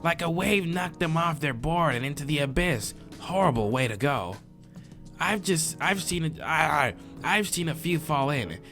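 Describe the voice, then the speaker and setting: A man's voice: fairly high pitch, expressive, normal speed, pushed and bright, soft background music, pitched above the performer's own voice. A man voicing a laid-back, friendly surfer-dude moth monster in a visual novel, with slangy, drawling talk. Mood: laid-back, animated. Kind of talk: visual novel character read aloud.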